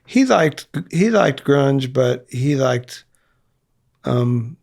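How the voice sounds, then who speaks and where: A man's voice: medium pitch, expressive, drawn-out words, creaky, a little mellow. A man in his fifties, a band singer, talking casually in a studio interview with lots of fillers and restarts. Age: 50s. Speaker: guest musician, singer in a band. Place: recording studio.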